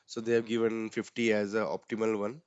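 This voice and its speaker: This man with an Indian accent explains settings in a loose, conversational way, dotting his talk with "uh" and "right?". His voice sits at a middling pitch. The sound is dry and a bit muffled, like a video call. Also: monotone, fairly quick, a little mellow, clean tone, dry recording. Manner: casual, many fillers, frequent tag "right?". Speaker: instructor. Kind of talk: explaining software settings step by step.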